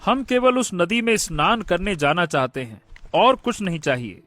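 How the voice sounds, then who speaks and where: A man playing a gallant young prince in an animated fairy tale, speaking earnestly and politely. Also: sits high, normal speed, no echo. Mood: earnest, courteous. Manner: earnest, polite, stammers when startled.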